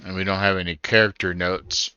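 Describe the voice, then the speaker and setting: A man casually narrates his playthrough, musing aloud as he goes. His voice is low and clear, recorded dry with game music behind it. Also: flat delivery, a little fast, pushed and bright, lo-fi sound. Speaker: gaming streamer. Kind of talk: casual first-time playthrough commentary.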